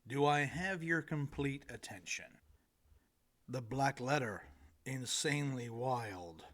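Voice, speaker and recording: Male author-narrator, medium pitch, unhurried pace, deliberate dramatic phrasing for a humorous audiobook. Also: fairly bright tone. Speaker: author reading own book.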